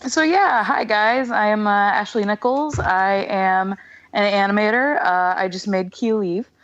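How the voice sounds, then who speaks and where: A woman's voice: medium pitch, unhurried, dull audio. A woman with a mid-range voice, slightly stuffy from a cold, talks as a podcast guest. She is casual and self-deprecating as she chats. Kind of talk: casual chat.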